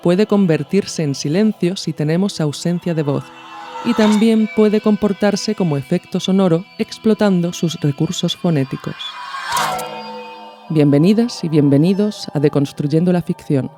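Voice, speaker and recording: A woman hosting a podcast with scripted, didactic narration in measured phrases. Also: lowish voice, music in the background. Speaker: podcast host.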